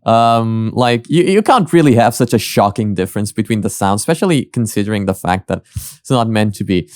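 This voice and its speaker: A man's voice: fairly low pitch, animated, brisk, no room sound. A man hosting a reaction video with casual commentary, rambling and often repeating his phrases.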